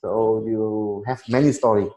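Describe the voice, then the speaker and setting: A man in his thirties, a Vietnamese native speaker, chatting casually in halting English and laughing often. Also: lowish voice, fairly even intonation, warm tone, muffled recording. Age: 30s. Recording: echoey room, faint noise.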